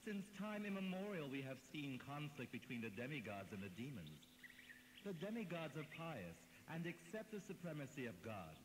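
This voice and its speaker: An actor playing a wise, grave grandsire god in a devotional audio drama, declaiming solemnly and formally. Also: male voice, fairly high pitch, background noise. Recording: long cavernous reverb. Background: music.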